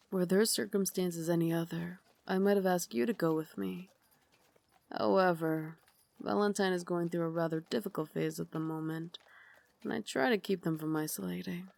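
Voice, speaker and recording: Woman playing a soft-spoken, low Victorian vampire lady. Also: vocal fry. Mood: gentle, reflective. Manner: refined, formal, soft-spoken, doting. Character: elegant Victorian vampire lady, caring and gently commanding. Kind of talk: ASMR roleplay, slow intimate scripted acting.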